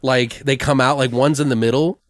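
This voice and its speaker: A man co-hosting a podcast, casually brainstorming a comedic horror story in a crude, animated, sweary way. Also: mid-range voice, normal speed, no room sound, clean studio sound. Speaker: podcast co-host.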